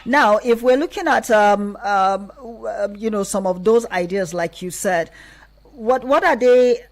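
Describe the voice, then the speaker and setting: A woman's voice: mid-range voice, measured pace, low-quality recording. A woman with a Nigerian accent hosting a radio interview in an encouraging, conversational way. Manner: encouraging, frequent verbal backchannels. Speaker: radio host.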